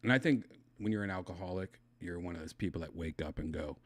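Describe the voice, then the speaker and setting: Middle-aged male livestream host from Wisconsin with a low voice and a slight lisp, loose mumbly delivery, dry close sound. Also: quick pace, dry recording. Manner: loose, mumbling, trails off into quiet asides. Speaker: livestream host reading chat. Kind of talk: casual rambling chat.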